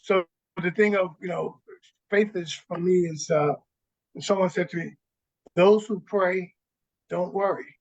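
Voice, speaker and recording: A man with a fairly high, gravelly voice speaks in a reflective, halting way and often restarts his sentences. His sound comes over a dull, lo-fi line. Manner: thoughtful, halting, many restarts. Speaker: radio show guest. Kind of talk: reflective conversation.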